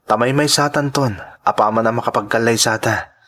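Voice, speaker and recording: A man's voice: medium pitch, a little fast, a bit bright, natural voice. A man with an Ilocano accent playing an earnest, devoted young son from a poor family in a radio drama. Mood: earnest, determined.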